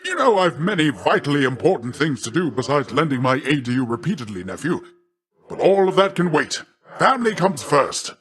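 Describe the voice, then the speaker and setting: A man's voice: a little high, big pitch swings, fairly quick, fairly bright tone. A man playing a boastful, jovial sea god in a video game, talking like a grandiose, theatrical uncle. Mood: jovial, encouraging. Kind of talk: video game character acting.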